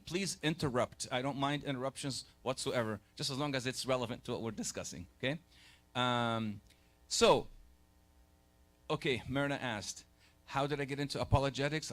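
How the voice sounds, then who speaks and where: Egyptian-born man, forties, church lecturer, relaxed and chatty, mid-pitched voice. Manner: conversational, pauses to rephrase, takes questions. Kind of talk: church lecture to a live group. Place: church hall.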